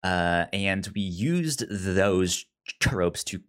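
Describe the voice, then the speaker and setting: Guy doing casual podcast hosting, lowish voice, stammers a lot, repeats syllables. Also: expressive, extremely slow. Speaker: podcast host.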